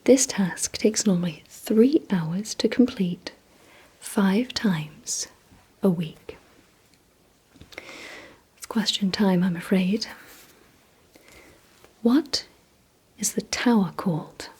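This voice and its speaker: A woman whispering breathily in an ASMR vampire roleplay, speaking deliberately to the listener as a gently instructing host. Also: medium pitch. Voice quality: breathy, mostly unvoiced whisper.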